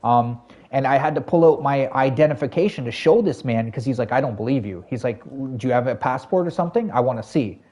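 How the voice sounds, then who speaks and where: Mellow-voiced Canadian man rambling to chat. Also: mid-range voice, lively intonation, quick pace. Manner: rambling, lots of fillers and self-corrections, talks to chat. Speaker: game streamer. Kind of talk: casual storytelling to a live chat.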